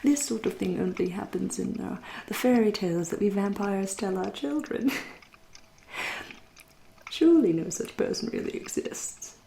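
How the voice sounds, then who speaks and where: A woman playing a centuries-old vampire in an ASMR roleplay, with an English accent, a soft breathy half-whisper and a genteel, teasing, politely menacing manner. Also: medium pitch, expressive, a little hiss or hum, rough recording. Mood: amused disbelief. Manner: slow, deliberate, politely menacing.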